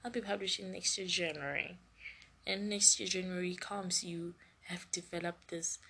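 A woman's voice: fairly low pitch, steady tone, normal speed, creaky, bright, forceful tone, breathy edge. A young woman hosting a solo podcast, talking in a rambling way and often restarting her sentences. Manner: rambling, restarts and self-corrects. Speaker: podcast host.

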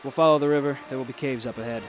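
An actor playing a confident, philosophical mentor in a radio drama, with a teasing, cryptic manner. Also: male voice, medium pitch, steady tone, very fast, lightly acted. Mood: calm, cryptic. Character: cryptic, wry, confident male adventurer and mentor. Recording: music behind the voice.

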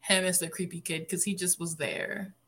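A woman's voice: fairly low pitch, steady tone, normal speed, fairly bright tone. A woman co-hosting a podcast, chatting casually and informally with wry, jokey reactions. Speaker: podcast co-host. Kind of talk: casual chat.

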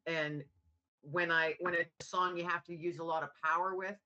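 Woman, american, gravelly, raspy, bright, encouraging, lo-fi sound. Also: fairly low pitch, steady tone, normal speed. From United States. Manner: upbeat, encouraging. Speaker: guest, author. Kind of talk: casual chat.

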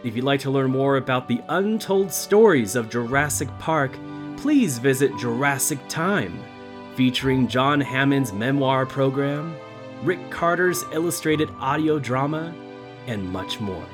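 A man's voice: medium pitch, expressive, unhurried. A man hosting a show, reading a scripted closing narration. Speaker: host. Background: music.

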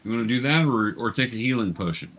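A man's voice: mid-range voice, expressive, fast talker, heavy background noise. A man acting as game master, conversationally narrating a tabletop game and patiently explaining things step by step to young players.

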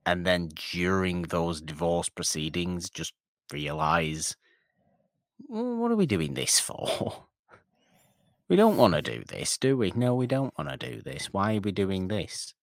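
A man with a very low, deep voice talks loosely about a classic movie, circling back and restarting his sentences. The sound is close and dry, with no room echo. He drifts between points in casual podcast chat. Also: a little slow, creaky. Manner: rambling, animated, self-interrupting. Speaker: podcast co-host. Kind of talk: casual film discussion.

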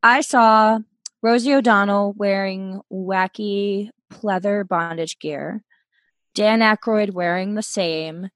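A woman in her late thirties, casually telling a story as a podcast guest from her bedroom, chatty and quick to laugh. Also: medium pitch, steady tone, no echo. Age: 30s. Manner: chatty, giggly, self-deprecating.

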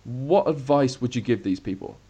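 A man hosts an interview podcast with a middle-pitched voice. He asks quick, curious follow-up questions and sounds encouraging throughout. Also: animated, warm tone, husky, lo-fi sound. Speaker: podcast host.

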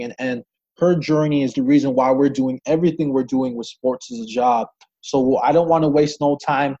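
A man's voice: mid-range voice, mellow, lo-fi sound. A man hosting a podcast, introducing a guest and asking questions in a loose, friendly way with many fillers. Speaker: podcast host.

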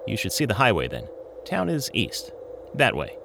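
A man's voice: fairly low pitch, wildly swooping pitch, normal speed, creaky, noisy background, full character voice. A man voicing a sarcastic, teasing talking coyote in a comedic audio drama, with slangy delivery. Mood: teasing, instructive. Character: wisecracking, smart-aleck male talking coyote.

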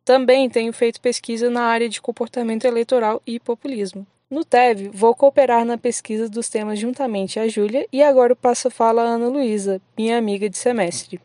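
A young woman introduces herself on a student podcast, composed and orderly. Her voice is fairly high but warm and mellow. The sound is dry and close. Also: fairly even intonation, fairly quick, few pauses, no echo. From Minas Gerais, Brazil. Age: young adult. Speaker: podcast member.

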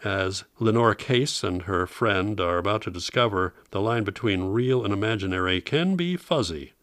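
A low-pitched American man hosts a vintage radio program. He presents in an informative narrating style, recorded very dry. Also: animated, normal speed, a bit bright, no room sound. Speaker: host of an old-time radio program.

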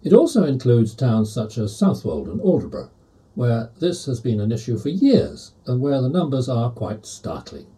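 A man's voice: medium pitch, big pitch swings, moderate pace, warm tone. A man reading an opinion article aloud for an audio edition. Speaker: volunteer article reader.

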